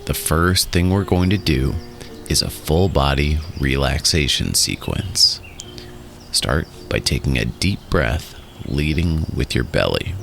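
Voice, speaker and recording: A man narrating a guided relaxation in a soothing, instructional way, in short phrases with pauses. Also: rumbling low, animated, vocal fry, fairly bright tone, a little hiss or hum. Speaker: guided meditation host. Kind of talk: guided meditation and hypnosis narration. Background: music.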